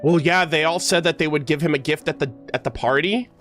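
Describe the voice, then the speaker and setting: Excitable, slangy woman streamer. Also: low voice, a little fast. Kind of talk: casual gameplay reaction commentary. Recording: faint game music behind the voice.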